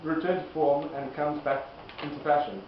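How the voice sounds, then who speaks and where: A man performing semi-improvised comic dialogue, halting and giggly as he finds his way through the script. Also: fairly high pitch, level tone, slight background noise. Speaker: amateur performer reading his part in a comic skit. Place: live performance in an echoey room. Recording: very echoey, distant, muffled room recording.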